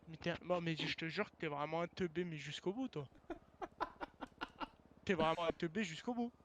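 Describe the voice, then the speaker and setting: High-voiced exasperated man, noisy mic. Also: normal speed, fairly bright tone. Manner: exasperated, blunt, swearing. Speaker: game server founder. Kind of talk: casual argument in online game voice chat. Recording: clearly noisy game voice chat.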